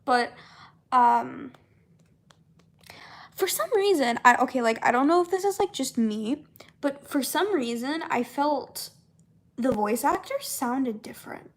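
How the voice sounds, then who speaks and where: A young woman chatting casually and unscripted, in a halting, rambling way with trailing thoughts and repeated words. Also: high-pitched, animated. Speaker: voice actor and comic dub creator chatting with viewers.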